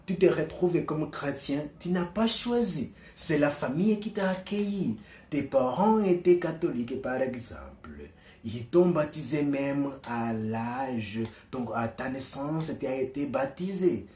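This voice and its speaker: A man giving a slow, deliberate reflective monologue, earnest and persuasive, pausing to search for words. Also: a little high, animated, dark tone, echoey room. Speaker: commentator on religion and culture.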